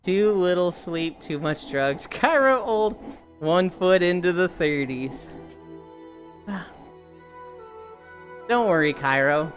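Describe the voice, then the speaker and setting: A woman streaming casually, rambling through stories, swearing and laughing easily. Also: on the low side. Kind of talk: casual chat while streaming.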